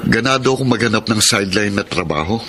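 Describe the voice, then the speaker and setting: A man's voice: fairly low pitch, animated, fast talker, sharp tone, a little hiss or hum. A man acting an evasive, defensive philanderer in a radio drama, with a Filipino accent. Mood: irritated, defensive. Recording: radio drama with clear music behind. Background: music.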